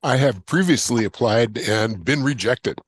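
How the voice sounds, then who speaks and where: A man with a mid-range voice closes an online meeting. The sound is dry and close, and he talks in an appreciative tone, pausing often on "uh". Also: medium pitch, breathy edge, dry recording. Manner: appreciative, with many "uh"s. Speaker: meeting organizer closing the session. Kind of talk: closing remarks in an online meeting. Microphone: video call.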